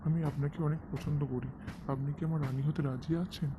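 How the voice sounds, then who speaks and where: A man's voice: on the high side, steady tone, quick pace, very noisy, close to an everyday voice. A man voicing an earnest, courteous, kindly king in a fairytale cartoon, with a pleading manner. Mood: grateful, warm. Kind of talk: cartoon voice acting.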